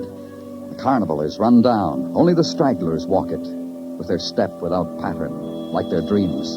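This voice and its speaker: Low-voiced hardboiled detective played by an actor, old radio. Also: male voice, lightly acted. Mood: brooding. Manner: terse, hardboiled, poetic narration. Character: world-weary, sardonic male police detective narrating his case. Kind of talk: 1950s radio crime drama acting. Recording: dull old radio recording, music behind.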